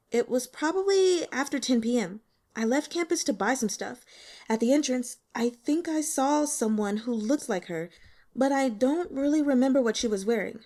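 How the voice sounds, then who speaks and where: Lady doing a jittery young student girl for an audiobook, high lively voice, hesitant, dodgy. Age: young adult. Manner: hesitant, halting. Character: nervous young female student, evasive and easily panicked. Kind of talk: audiobook character dialogue.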